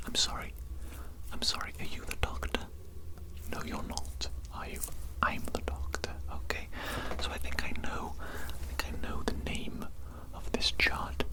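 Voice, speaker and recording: Woman whispering as a slow, pompous evil doctor. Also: close to an everyday voice, poor audio. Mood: indignant, bossy. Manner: condescending, deliberate, theatrical. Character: evil mad doctor, pompous, bossy and menacing. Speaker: ASMR roleplayer. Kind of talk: whispered ASMR roleplay.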